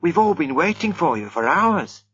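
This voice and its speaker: A man voicing an excitable young man who calls out loudly. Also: on the high side, normal speed, slightly rough, muffled recording, voice pushed up, exaggerated voice. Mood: excited.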